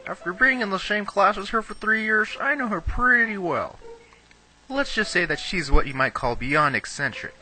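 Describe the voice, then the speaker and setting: A man's voice: high voice, expressive, normal speed, pushed and bright, heavily acted, rough recording. A young man playing a cocky, gossipy, girl-crazy schoolboy in an amateur anime dub, talking in emphatic slang. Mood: gossipy, knowing.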